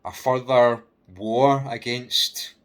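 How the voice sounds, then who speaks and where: A Scottish man giving an unscripted personal opinion rant in a halting way, repeating himself and putting questions straight to the listener. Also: medium pitch, flat delivery, a bit bright, clean tone. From Scotland, UK.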